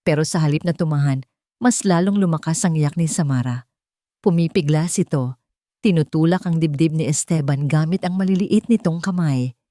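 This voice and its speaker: A woman's voice: deep voice, normal speed, dark tone, no echo, studio quality. A woman storyteller delivering dramatic scripted narration of a love story.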